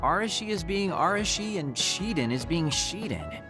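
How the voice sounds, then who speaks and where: A man voicing an excitable, theatrical young male soldier in an anime game, with an expressive, dramatic delivery. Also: sits high, moderate pace, over music. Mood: fretful, eager. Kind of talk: anime video game dub acting.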